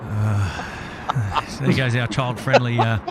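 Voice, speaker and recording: A man's voice: medium pitch, fairly even intonation, slow pace. An Australian man hosting a live plane-spotting stream with casual, jovial, chatty commentary and banter. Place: outdoors near an airport. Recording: outdoor airport ambience, clear vehicle noise.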